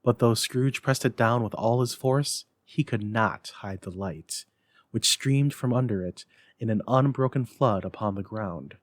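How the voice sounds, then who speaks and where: A man narrating a classic story as a dramatic reader, with expressive, emphatic delivery. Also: mid-range voice, no room sound. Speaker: library staff story reader.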